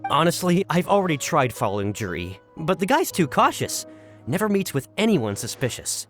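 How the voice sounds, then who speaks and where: A man's voice: a little high, big pitch swings, moderate pace, a bit bright, soft background music. A man voicing an earnest, deferential subordinate in a video game, reporting to his superior with emphatic insistence. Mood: puzzled, frank. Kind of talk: scripted game dialogue.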